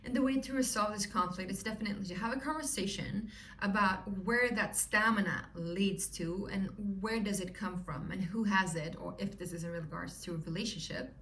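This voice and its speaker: Swedish lady doing a chatty tarot reading, bright voice, a bit far from the mic. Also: mid-range voice, a little hiss or hum. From Sweden. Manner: chatty, upbeat, lots of "you know" and "right?". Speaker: tarot reader. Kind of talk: casual tarot reading to viewers.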